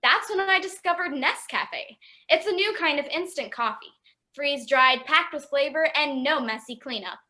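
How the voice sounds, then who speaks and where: Woman playing a bright, high-voiced confiding housewife. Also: animated, moderate pace. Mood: confiding, smug. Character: confiding, self-assured housewife friend, sweetly patronizing. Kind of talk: 1950s-style radio commercial acting.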